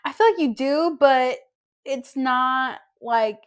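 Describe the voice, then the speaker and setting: A young woman co-hosting a podcast, chatting casually with a friend in an expressive, theatrical way and laughing often. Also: sits high, slow, clean tone. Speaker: podcast co-host. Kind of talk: casual chat between friends.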